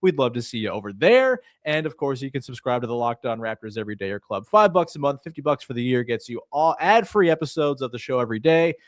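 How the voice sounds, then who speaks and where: A man's voice: mid-range voice, sing-song, fast, few pauses, dry recording, clean studio sound. A Canadian man hosting a sports podcast in an informal, chatty, slangy way. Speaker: podcast host.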